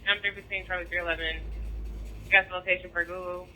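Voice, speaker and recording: Very high, sharp American male dispatcher. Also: level tone, fast talker. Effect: police radio transmission. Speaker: police dispatcher. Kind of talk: clipped procedural radio dispatch. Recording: lightly noisy police scanner radio.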